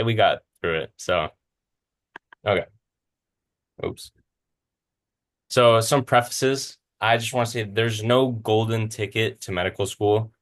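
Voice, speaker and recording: A man's voice: on the low side, a bit bright. A man in his twenties with a Californian American accent, giving an informal, self-deprecating slide presentation as a student speaker. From Southern California, United States. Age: 20s. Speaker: student speaker, medical school applicant.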